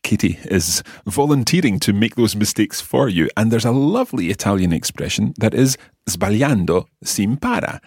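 A man hosting a podcast lesson as the teacher, speaking in a clear, enthusiastic teacherly way. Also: fairly low pitch, a little mellow, dry recording, studio quality. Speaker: language teacher and podcast host.

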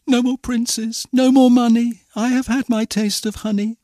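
A man's voice: very high-pitched, little pitch movement, measured pace, warm tone, dry recording, pitched above the performer's own voice. A man voicing a plucky, plaintive young storybook heroine with an earnest, exclamatory manner. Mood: wary hope.

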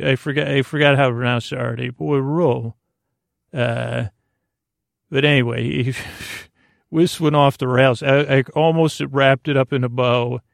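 Male bedtime podcast host: soothing gravelly voice, subdued intonation, halting and rambling, self-deprecating mumble, close dry sound. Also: medium pitch, dry recording.

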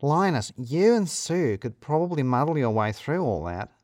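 A man's voice: fairly high pitch, big pitch swings, normal speed, darkish tone, no room sound, clean studio sound, voice pushed up. A man voicing a practical, questioning office colleague who speaks in short, pointed phrases in an audio story.

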